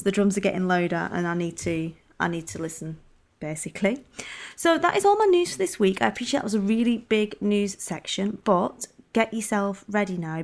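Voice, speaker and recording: Chatty woman with a gravelly voice. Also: medium pitch, darkish tone. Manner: chatty, upbeat, conversational. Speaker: podcast host. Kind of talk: casual podcast chat.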